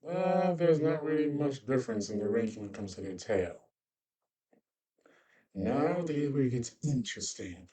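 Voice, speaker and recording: A man's voice: mid-range voice, animated, normal speed, dark tone, recorded from a distance, close to an everyday voice. A man voicing a cosmic monster beast who hosts a casual, rambling narrated guide to fantasy creatures, with offhand asides. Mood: explanatory. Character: cosmic monster beast presenting its world's species, conversational. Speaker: host introducing fantasy species.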